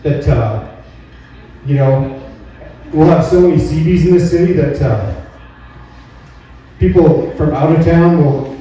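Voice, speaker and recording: A man with a Canadian accent doing stand-up in a very echoey room, heard from far off the mic. His voice is a bit high and on the mellow side, and he rambles along in a folksy way. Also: measured pace, long pauses, a little hiss or hum. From Alberta, Canada. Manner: rambling, folksy, checks in with "right?". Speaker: stand-up comedian. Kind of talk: stand-up comedy routine. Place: live comedy venue indoors.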